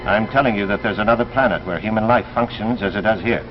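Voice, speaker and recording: A man portrays an authoritative doctor in charge of a secret committee, speaking low with formal, deliberate gravity. The vintage science fiction broadcast sounds muffled, with music running behind him. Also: natural voice. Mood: grave, emphatic. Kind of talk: 1950s science fiction anthology drama acting.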